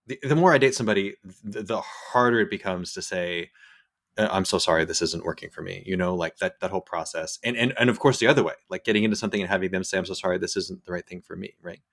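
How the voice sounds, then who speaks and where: A man's voice: low voice, quick pace, creaky, fairly bright tone. A man in his forties hosting a casual talk, thinking aloud and often restarting his words. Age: 40s. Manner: hesitant, repeats words when searching for thoughts. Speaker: podcast host. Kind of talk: reflective casual conversation.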